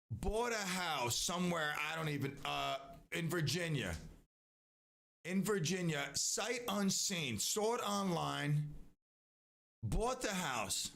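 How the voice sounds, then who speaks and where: Gravelly-voiced man rambling on a stream. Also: on the high side, a bit bright. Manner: rambling, with frequent restarts and asides. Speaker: live stream host. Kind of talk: casual rambling commentary.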